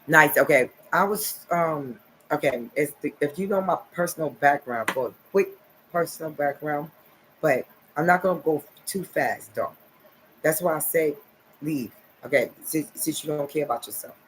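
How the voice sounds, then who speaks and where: A man's voice: fairly high pitch, moderate pace, fairly bright tone, slight background noise, low-quality recording. A man in his forties from New Jersey, talking to his viewers in a rambling, repetitive monologue full of "okay" and "nice". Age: 40s. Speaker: vlogger.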